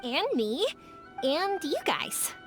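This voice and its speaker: A woman playing a bubbly, eager, warm-hearted young woman in a video game, peppy and earnest in delivery. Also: high voice, sing-song, takes it slowly, over music, heavily acted. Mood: cheerful. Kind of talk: video game character acting.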